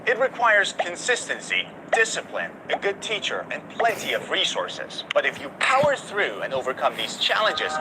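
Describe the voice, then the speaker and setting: A man hosting an English lesson, introducing guests and asking them questions in a lively, expressive way. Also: a little high, very noisy. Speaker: English teacher hosting interviews. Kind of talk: friendly interviewer asking questions.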